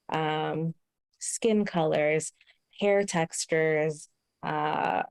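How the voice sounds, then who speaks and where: Low-voiced Canadian woman, thoughtful talk. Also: mellow, clean tone, no echo. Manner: thoughtful, many fillers and restarts. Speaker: host. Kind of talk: casual conversation.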